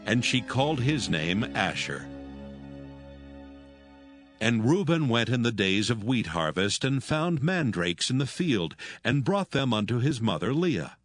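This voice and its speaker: A man's voice: medium pitch, very animated, a little slow, faint music behind. A man narrating scripture from a script in a solemn style.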